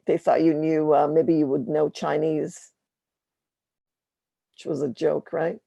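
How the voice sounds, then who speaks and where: Woman w creaky mellow voice, casual hesitant chat on an online meeting, dry sound. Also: low-pitched, level tone, takes it slowly, dry recording, lo-fi sound. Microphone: online video call.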